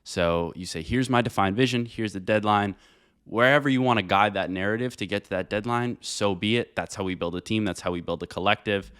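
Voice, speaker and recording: A young man interviewing casually, working out long questions in a halting way and repeating words as he goes. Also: lowish voice, fairly bright tone, smooth. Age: young adult. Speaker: podcast interviewer.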